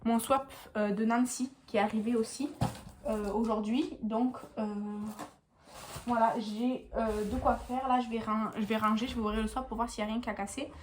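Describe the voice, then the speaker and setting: A woman's voice: fairly high pitch, steady tone, normal speed, noisy background. A young woman with a stuffy nose from a cold, chatting casually in a meandering beauty vlog at home, full of hesitations. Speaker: beauty vlogger.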